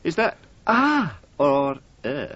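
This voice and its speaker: High, lilting, needling colleague. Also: male voice, wildly swooping pitch, slow pace, fairly bright tone, no room sound, full character voice. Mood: arch, confiding. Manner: arch, clipped, quizzing. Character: breezy, probing male publishing colleague, slyly needling. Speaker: actor in a radio play. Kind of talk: 1980s radio drama acting. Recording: dull 1980s radio recording.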